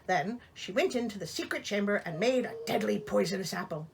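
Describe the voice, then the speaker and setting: A woman's voice: medium pitch, expressive, a little fast, vocal fry, slight background noise. A woman reading a storybook aloud in a theatrical way, breaking in with sarcastic, exasperated comic asides. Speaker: storyteller, host of a reading show.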